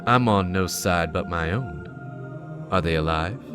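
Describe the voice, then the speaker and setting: A man's voice: low-pitched, a little slow. Cynical male rogue, curt, out for himself acted by a male reader, music underneath. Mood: defiant. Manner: sardonic, curt.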